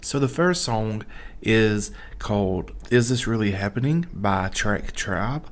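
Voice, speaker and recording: A man's voice: lowish voice, animated, slow. A man hosting a podcast in a casual, chatty style. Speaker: podcast host. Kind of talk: casual chat.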